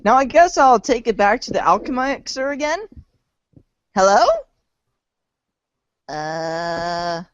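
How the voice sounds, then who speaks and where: A woman's voice: mid-range voice, lively intonation, slow pace, long pauses, clean tone, no echo. Lady doing a theatrical teen boy superhero wannabe, voice all over the place, comic storybook, bit muffled. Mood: self-important. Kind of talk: read-along storybook comedy acting.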